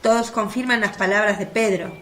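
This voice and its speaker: A woman's voice: mid-range voice, steady tone, a little fast, fairly bright tone. A woman narrating a religious radio drama in a descriptive, literary reading style. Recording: faint background noise of birds and crickets.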